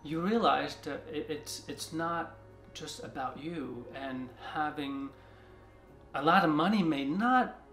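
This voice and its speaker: A man in his forties from China, talking in a soft, halting way with many restarts during a seated interview in an art studio. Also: a little high. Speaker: artist. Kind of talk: reflective interview talk. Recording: roomy studio sound with music behind.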